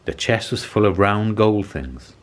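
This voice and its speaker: A man reading a children's story aloud as an expressive narrator. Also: fairly low pitch, measured pace. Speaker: storybook reader.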